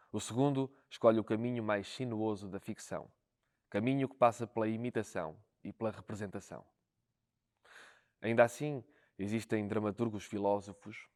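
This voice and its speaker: A man's voice: lowish voice, darkish tone. A man with a European Portuguese accent reading a scripted educational narration.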